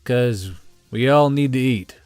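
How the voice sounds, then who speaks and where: An American man giving a casual, off-the-cuff ad pitch as a channel host, in a folksy, blunt, colloquial way. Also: slow pace, a little mellow, smooth, no room sound, faint music behind. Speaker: channel host reading a sponsor ad.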